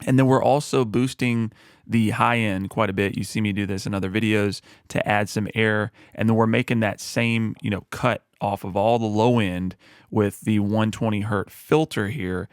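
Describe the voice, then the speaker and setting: A man with a low voice, talking through a music mixing tutorial in a relaxed, explanatory way with frequent fillers. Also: normal speed, clean tone, no room sound, studio quality. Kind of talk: screen-recorded mixing tutorial.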